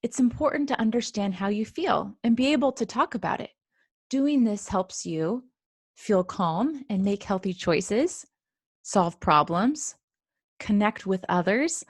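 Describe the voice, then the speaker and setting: A woman's voice: mid-range voice, lively intonation, unhurried, breathy edge, no room sound, clean studio sound. A woman voicing an earnest, caring young girl mouse for a children's storybook, explaining things simply and sincerely. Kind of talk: children's storybook read-aloud.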